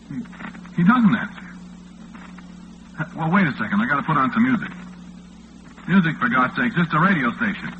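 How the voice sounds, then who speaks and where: A young radio disc jockey, stammering and deferential, with a lively, swooping delivery. It is 1940s radio drama, heard through a thin, tinny band with heavy noise. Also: male voice, fairly high pitch. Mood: resigned. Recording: tinny, noisy old radio recording.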